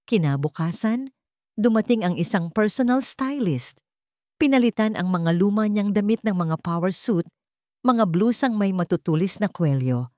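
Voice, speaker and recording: A woman with a Filipino accent reading a scripted story as a narrator, in measured phrases with dramatic pauses. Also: on the low side, darkish tone, dry recording, clean studio sound.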